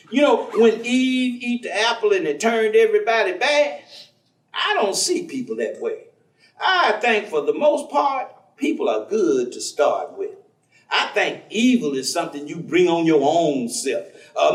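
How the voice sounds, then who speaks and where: An actor playing a warm, devout, folksy man, teasing and preaching in a conversational staged reading. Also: high voice, big pitch swings, measured pace. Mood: earnest, reflective. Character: warm, devout, folksy man, witty self-styled outlaw believer. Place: theatre stage. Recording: echoey stage recording from far off.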